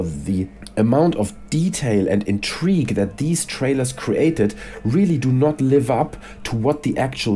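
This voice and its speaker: A man's voice: medium pitch, dark tone. A man with a cold, his voice a bit congested, talking casually and with strong opinions about video games as a YouTuber. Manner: chatty and opinionated, rants with frequent "you know" and "right?". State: has a cold, voice slightly congested. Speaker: gaming YouTuber.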